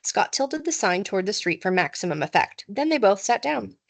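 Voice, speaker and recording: Female narrator, teacher-style children's book reading, expressive, very varied intonation. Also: mid-range voice, normal speed, vocal fry.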